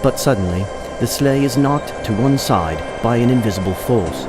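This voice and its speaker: A man's voice: mid-range voice, lively intonation, normal speed, loud music behind the voice. A man giving scripted, present-tense narration for an audio play.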